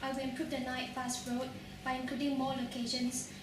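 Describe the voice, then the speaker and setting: A young woman from Malaysia delivers a student campaign speech in a high, flat-toned voice. She is heard from a distance in a very echoey, noisy room. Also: a little fast. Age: young adult. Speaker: student officer standing for election. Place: large echoey room at a public hustings.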